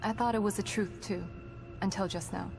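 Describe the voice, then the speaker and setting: A composed female psychologist from a video game cutscene, played by an actress. She is guarded and clinical, choosing precise, formal words at a middling pitch. Music plays clearly behind her. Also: fairly even intonation, normal speed, close to an everyday voice. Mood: calm, frank. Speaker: psychologist character in a horror game. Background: background music.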